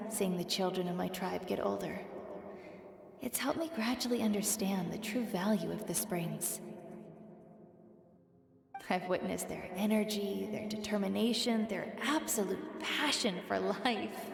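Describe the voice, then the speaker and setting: A gently proud, nostalgic warrior woman reminiscing in a video game. Her creaky, mid-pitched voice trails long reverb beneath prominent music, with unhurried phrasing. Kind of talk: reflective monologue in a video game. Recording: long echoing reverb under loud music.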